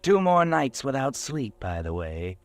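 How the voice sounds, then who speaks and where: A man's voice: mid-range voice, sing-song, a little slow. A man playing a mocking, ominous narrator who goads the listener in the second person, in a 1940s radio drama. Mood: grim. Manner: taunting, goading, second-person.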